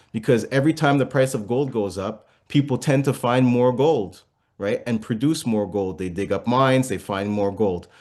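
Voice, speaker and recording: A man's voice: lowish voice, a little mellow. Man explaining investments in an interview. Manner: explains patiently, many fillers and restarts, asks listeners if it makes sense. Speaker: guest investment speaker.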